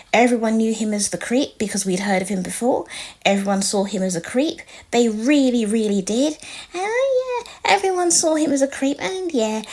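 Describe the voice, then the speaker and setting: A woman with a quiet, soft voice, telling a personal story in a casual vlog with an earnest, pleading manner. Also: sits high. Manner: earnest, pleading, sometimes drops to a whisper. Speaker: storytelling vlogger.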